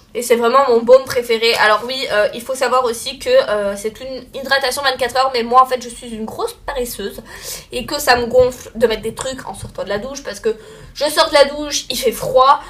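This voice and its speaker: A woman's voice: fairly high pitch, steady tone, moderate pace, fairly bright tone. A young woman with a slightly stuffy voice from a cold, chatting casually and rambling with many fillers about her monthly beauty favorites. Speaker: beauty vlogger.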